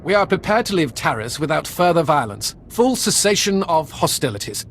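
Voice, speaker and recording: An actor playing a suave, cunning enemy agent who speaks in a calm, smooth, formal way. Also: male voice, a little high, moderate pace, slight background noise.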